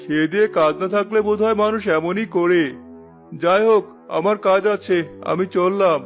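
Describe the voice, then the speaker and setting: A man playing a high-pitched, mocking cartoon neighbour. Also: steady tone, moderate pace, faint music behind, natural voice. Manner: teasing, sarcastic. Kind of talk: cartoon voice acting.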